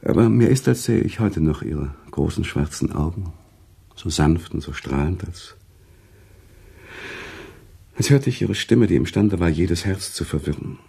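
A sentimental storyteller in a radio play. His low, gravelly voice swings widely between teasing and feeling, with dramatic pauses and emphatic swells. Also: expressive, warm tone, exaggerated voice. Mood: moved, nostalgic. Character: sentimental, worldly male storyteller, emotional and teasing. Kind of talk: German radio drama acting, conversational storytelling.

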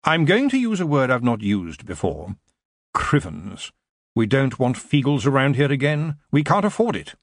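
A man's voice: medium pitch, sing-song, normal speed, no echo, voice pushed up. Man playing a dry, coolly commanding ruler. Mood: cool, wary. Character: coolly commanding, wry male tyrant ruler.

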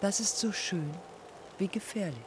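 An actress playing a proud, embittered dead woman aviator in a poetic radio play, speaking in terse, measured fragments. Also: medium pitch, background noise, close to an everyday voice. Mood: bitter, reflective. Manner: measured, terse, fragmented phrasing with deliberate pauses.

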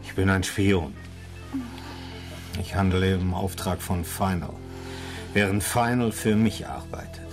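A man's voice: deep voice, measured pace, long pauses. A man acting a cocky, coarse spy in a German crime radio play, speaking in terse, blunt, conspiratorial lines. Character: cocky, coarse male spy and lover, scheming adventurer. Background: prominent music.

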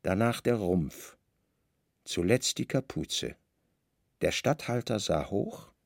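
A man narrates a literary radio play in a low voice with a gravelly edge. His storytelling is grave and deliberate, and the recording is very dry. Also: expressive, no room sound.

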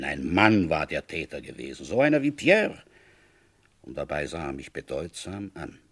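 An actor playing a weary police inspector who narrates a radio drama in the first person, husky, dry and terse. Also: male voice, sits low, no room sound, heavily acted. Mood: reflective, terse. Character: feverish, weary male police inspector recounting his case.

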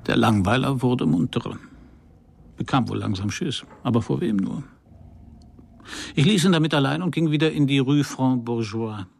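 An actor playing a wry, self-assured Parisian private detective in a radio crime drama, speaking dryly in terse, laconic sentences. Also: male voice, medium pitch, normal speed, creaky, long pauses, breathy edge. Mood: dry, brooding. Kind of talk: first-person radio crime drama narration and dialogue.

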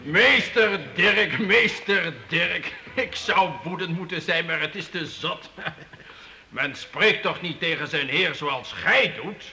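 An actor playing an imperious but fair-minded medieval count in a Dutch radio play, speaking in a stately, commanding, formal manner. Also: male voice, high voice, slow, sharp tone, in a large hall, full character voice. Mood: measured, conciliatory. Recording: faintly noisy old radio play.

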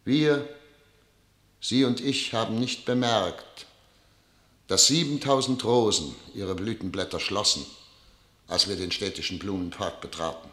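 A man's voice: fairly low pitch, unhurried, long pauses, a bit bright. An actor playing a solemn, disillusioned ruler who addresses his ministers in a grand, rhetorical radio drama style. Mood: regretful, solemn. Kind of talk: theatrical radio drama oratory. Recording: echoey hall, reverberant studio radio drama.

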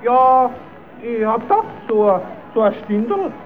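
An actor playing a naive, cheeky, quarrelsome puppet-show rascal with a southern German accent in an old radio play. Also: male voice, extremely high pitch, big pitch swings, takes it slowly, faint music behind, full character voice. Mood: naive curious. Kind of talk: old radio play, comic dialect acting. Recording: tinny, narrow-band old radio recording.

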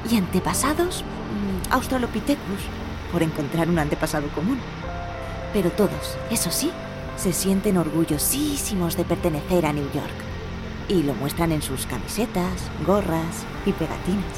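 An actress plays a skeptical woman in radio fiction. Her voice sits on the low side, wry and scripted, with clear music behind it. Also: lively intonation, normal speed, natural voice. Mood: measured, wry. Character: skeptical, exasperated woman narrator and friend.

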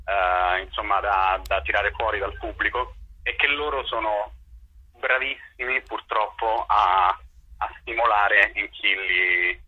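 A man's voice: lowish voice, fairly even intonation, slow pace, breathy edge, dry recording. A man interviewed as a playwright over a phone line, answering spontaneously and often searching for words. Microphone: telephone.

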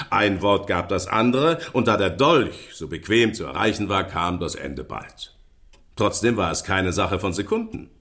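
An actor playing a self-assured, chatty police inspector in a German radio drama, explaining things in a smug, teasing way. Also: male voice, on the low side, a bit bright.

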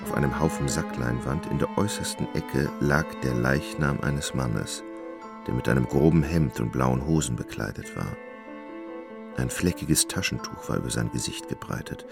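Extremely deep man, creaky voice, literary narration, radio play storyteller, music underneath. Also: normal speed.

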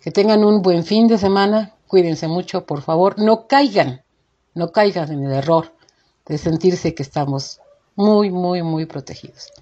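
Mexican woman, opinion host. Lowish, husky voice, emphatic and fed up, addressing the audience with pointed questions. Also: measured pace, mellow, muffled recording. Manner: indignant, emphatic, rhetorical questions. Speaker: show host. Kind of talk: informal opinion monologue to listeners.

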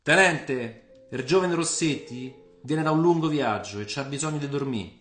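Man acting older Roman tavern leader, storybook figure, tipsy, jovial, loud, drawling singsong, raised pitch, echoey. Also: moderate pace, soft background music, lightly acted. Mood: jovial teasing.

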